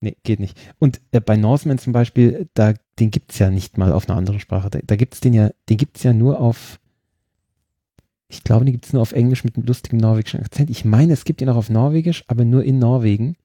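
A man's voice: medium pitch, a little fast, mellow, breathy edge, no room sound, clean studio sound. A man chatting casually about films with friends, talking in a loose, hesitant way with many fillers and small laughs. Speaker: podcast co-host.

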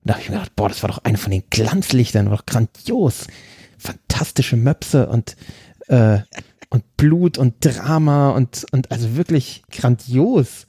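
Enthusiastic German-speaking man, mellow voice. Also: mid-range voice, husky, dry recording, clean studio sound. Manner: enthusiastic, laughs often. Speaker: podcast co-host. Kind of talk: casual chat.

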